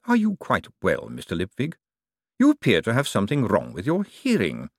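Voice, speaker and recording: An icily patient tyrant, as a male narrator voices him, unhurried and measured, each word placed with silky menace. The low voice carries lively inflection, close and dry like an audiobook booth. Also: gravelly, no echo, full character voice. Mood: coolly polite. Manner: slow, measured, icily polite.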